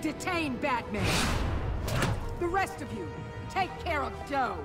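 A woman's voice: high voice, sing-song, takes it slowly, full character voice. An actress voicing a stern, ruthless woman who runs a government agency in a video game, with a commanding manner. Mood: commanding, urgent. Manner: commanding, forceful orders. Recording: loud music behind.

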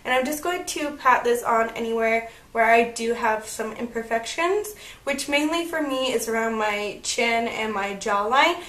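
A chatty young woman in her twenties talks through her makeup routine in a fairly high voice, her tone slightly croaky as she gets over a cold. She is recorded at home on a camera mic, and the room gives the sound a noticeable echo. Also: animated, measured pace, fairly bright tone. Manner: chatty and upbeat, narrating each step. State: slightly croaky, recovering from illness. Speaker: beauty vlogger. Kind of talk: casual makeup tutorial chat. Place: bedroom at home, indoors. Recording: echoey room.

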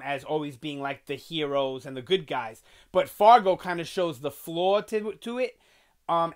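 Young New guy hosting a TV review channel, animated and loose, lots of "um" and "you know", webcam sound. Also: on the high side. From New York. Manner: animated, gesturing a lot, chatty with fillers. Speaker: TV review host. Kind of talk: casual video review. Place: small bedroom with collectibles on shelves.

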